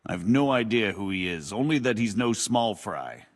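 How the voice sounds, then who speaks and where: An actor playing a scheming imprisoned knight who talks slyly and self-pityingly in a video game cutscene. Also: male voice, fairly low pitch, lively intonation, moderate pace. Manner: sly, wheedling, self-pitying. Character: scheming imprisoned robber knight, older man.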